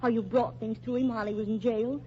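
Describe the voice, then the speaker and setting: Actress as high-voiced, indignant, loyal American wife. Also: female voice, steady tone, fast, natural voice. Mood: accusing. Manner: theatrical, protesting, tart. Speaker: radio drama actress. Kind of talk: 1940s radio acting. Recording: muffled old radio recording, faint noise.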